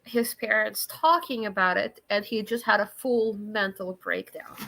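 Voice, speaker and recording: A woman's voice: mid-range voice, a little slow, pushed and bright, poor audio. A woman who grew up in Russia, hosting a casual group stream and telling a story in a rambling way, repeating her words as she starts.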